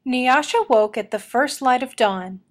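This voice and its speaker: A woman reading a children's story aloud in an expressive storytelling style. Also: sits high, unhurried. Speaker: storybook reader.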